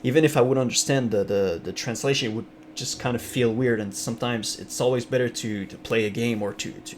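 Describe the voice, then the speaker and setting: A man's voice: fairly low pitch, a little hiss or hum. A young man with a French Canadian accent, a streamer from Ontario whose first language is French, chatting casually with viewers in a rambling way full of fillers. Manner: rambling, many fillers and restarts, reads chat aloud. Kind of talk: casual live-stream chat.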